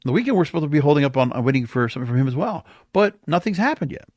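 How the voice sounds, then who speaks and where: A man's voice: on the high side, very animated, very fast, a little mellow, no room sound, clean studio sound. A man hosting a solo podcast, giving opinionated commentary in an emphatic, rambling way and often repeating himself. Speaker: podcast host.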